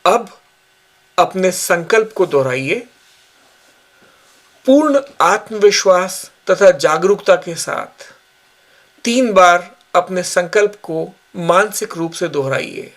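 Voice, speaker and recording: Male meditation guide, fairly high-pitched, very slow delivery, short instructional phrases with pauses. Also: lively intonation, a bit bright. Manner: measured, instructional, pausing between short phrases. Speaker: yoga nidra instructor.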